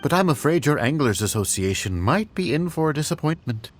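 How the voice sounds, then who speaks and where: A man's voice: fairly high pitch, sing-song, a little fast. A man playing an affable, chatty fishmonger in a video game, folksy and obliging. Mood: friendly, musing. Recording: background music under the voice.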